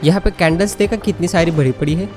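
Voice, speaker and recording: A man's voice: fairly high pitch, rapid-fire, darkish tone. A man chatting casually as he plays a game, reacting aloud in an amused, informal way. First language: Hindi. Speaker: gaming channel host. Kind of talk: casual gameplay commentary. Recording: commentary mixed over game music.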